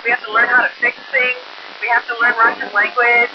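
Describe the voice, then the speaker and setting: A muffled radio transmission with light static carries a man's very high-pitched voice. He explains things plainly and casually, like an astronaut fielding questions over a two-way link. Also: flat delivery, a little fast. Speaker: astronaut aboard a space station answering questions.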